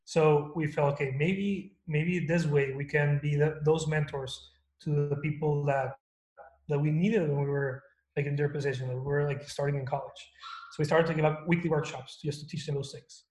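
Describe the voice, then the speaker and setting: A man in his twenties from Mexico, a guest telling his story in a halting, casual way with many restarts. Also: on the high side, fairly even intonation, darkish tone. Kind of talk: casual interview chat. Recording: echoey room.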